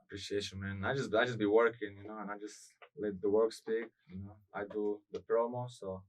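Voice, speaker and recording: A young man speaking English as a second language in an Albanian accent, his voice sitting fairly low. He talks quietly and casually as a radio guest, letting sentences trail off and stumbling over repeated words. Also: fairly even intonation, brisk, distant mic, rough recording. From Greece and Albania. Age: young adult. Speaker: event promoter and DJ guest.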